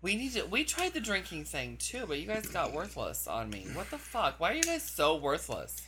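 A man co-hosting a podcast in casual chat, campy, loud and giggly with friends in a room. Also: high-pitched, wildly swooping pitch, normal speed, background noise. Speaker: podcast co-host.

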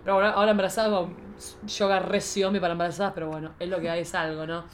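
A woman in her forties with an Argentine accent, hosting a casual comic chat in an energetic manner. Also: fairly low pitch, very fast, a little hiss or hum. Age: 40s. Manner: animated, self-described as very energetic. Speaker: podcast host.

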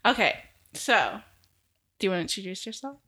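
A woman hosting a casual faith-based podcast, friendly and giggly, with soft music behind her intro. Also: medium pitch, lively intonation, a little fast, background noise. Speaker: podcast host.

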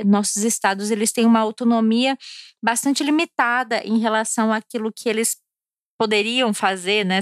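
Uma mulher de Brasília falando de forma casual e cheia de rodeios num vlog, um pouco alegre depois de beber. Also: female voice, medium pitch, no echo, clean studio sound. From Brasília, Brazil. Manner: chatty, digressive, with frequent fillers and restarts. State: a little tipsy from drinking. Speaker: vlogger.

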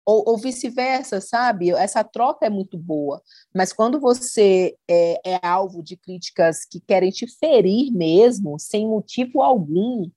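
A woman's voice: mid-range voice, animated, a little slow, mellow, no room sound. A woman content creator in casual conversation, speaking earnestly and reflectively, often quoting what others said to her.

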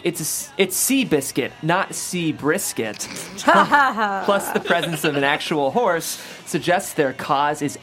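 Animated male host with lively pitch. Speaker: host, reader of stage directions. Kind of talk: casual podcast hosting and reading script directions.

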